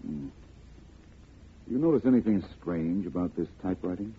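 An actor playing a composed, courteous, analytical private detective in a 1940s radio drama, measured and formal. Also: male voice, sits low, expressive, slightly rough, heavily acted. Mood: calm, attentive. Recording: noisy, muffled old radio broadcast.

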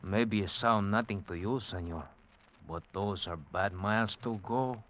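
An actor playing a weary Mexican prisoner, halting and subdued, with a Mexican Spanish accent, in an old radio drama. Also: male voice, fairly low pitch, lightly acted. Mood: wary warning. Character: weary, resigned Mexican chain-gang prisoner. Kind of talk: 1940s-50s radio drama acting. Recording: muffled old radio recording.

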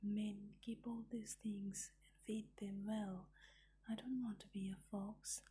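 Brief: woman whispering a cross little fox cub, storybook, flat breathy hush. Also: medium pitch, takes it slowly. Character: restless young male fox cub.

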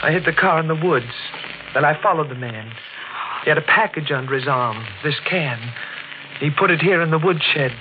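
Low-voiced, secretive radio-drama nurse. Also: female voice, lively intonation, normal speed, narrow, tinny audio, background noise. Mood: urgent, controlled. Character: secretive, urgent female private nurse. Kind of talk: 1940s radio mystery acting. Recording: thin old radio broadcast with music.

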